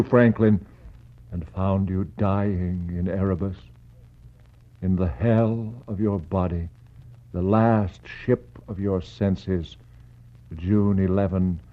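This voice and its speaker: Solemn, slow explorer-narrator. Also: male voice, fairly low pitch. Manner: incantatory, rhetorical questioning. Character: solemn, probing explorer-narrator addressing the dead, poetic and intense. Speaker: actor, narrator. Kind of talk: 1960s radio verse drama. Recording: muffled, faintly noisy 1960s radio recording.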